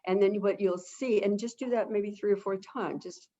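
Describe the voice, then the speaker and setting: A woman speaking at an ordinary middle pitch, calm and gentle, who keeps circling back to the same phrases. She is explaining things as a guest on a video-call webinar. The audio is dull and lo-fi. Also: moderate pace, a little hiss or hum. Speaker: avian behavior expert, webinar guest. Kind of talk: casual explanatory talk.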